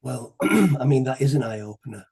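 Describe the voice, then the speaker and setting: A man hosting an interview, talking in a halting way with frequent repeats and throat-clearing. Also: medium pitch, sing-song, a little mellow. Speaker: interview host. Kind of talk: conversational interviewing.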